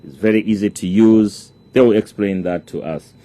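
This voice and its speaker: A man hosting a radio interview in a halting way, restating things as he introduces guests and puts questions. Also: on the low side, dark tone, dry recording.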